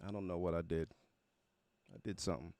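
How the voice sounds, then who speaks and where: A man's voice: deep voice, fairly even intonation, brisk, darkish tone, dry recording. A man hosting a livestream, chatting casually and slangily as he greets viewers by name. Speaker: livestream host of music reaction show.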